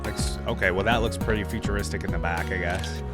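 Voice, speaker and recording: A man reacting to videos as a host, effusive and slangy, thinking out loud in casual commentary. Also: sits low, steady tone, fast talker. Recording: game audio and music behind.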